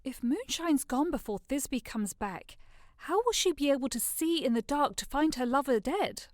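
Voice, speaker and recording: A woman's voice: sits high, lively intonation, moderate pace, darkish tone, no room sound, clean studio sound. A woman playing a skeptical, unimpressed noblewoman in an audio drama. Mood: sardonic. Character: skeptical noblewoman heckling a play. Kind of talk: audio drama Shakespeare in modern English.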